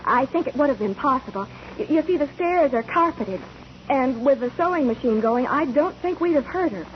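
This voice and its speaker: High-voiced, composed young witness. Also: female voice, animated, moderate pace. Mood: composed, careful. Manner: careful, polite. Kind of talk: 1940s radio acting. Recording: dull, noisy 1940s radio recording.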